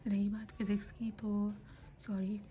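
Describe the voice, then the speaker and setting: Young woman, hushed whisper, sore throat, flat even delivery, faint room hum. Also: medium pitch, unhurried. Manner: hushed whispering, mixing Hindi and English. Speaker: student preparing for a medical entrance exam. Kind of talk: whispered study vlog talk.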